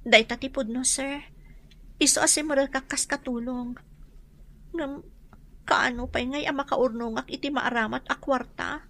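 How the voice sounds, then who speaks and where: Young housemaid and devoted daughter, radio drama. Very high, bright voice; anxious, pleading. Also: female voice, steady tone, moderate pace, long pauses, exaggerated voice. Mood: sorrowful, worried. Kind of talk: Ilocano radio drama acting.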